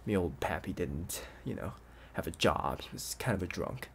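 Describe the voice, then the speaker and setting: A man playing an obsessive, playful young intruder in an ASMR roleplay, coaxing the listener like a doting owner, with hesitant pauses and hushed asides. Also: low-pitched, animated, vocal fry, slight background noise. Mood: wistful.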